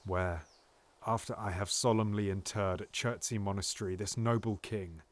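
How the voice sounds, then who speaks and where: A man playing a scheming, silver-tongued nobleman in a Shakespearean audio drama, theatrical and ranging between hushed pleading and loud exultation. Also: fairly low pitch, lightly acted. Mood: smooth persuasion.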